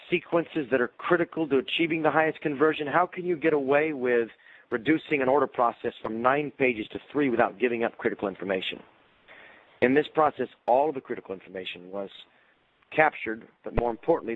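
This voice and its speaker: A man presenting a marketing research webinar, explaining in a measured way while he is losing his voice. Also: medium pitch. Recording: tinny phone-line audio.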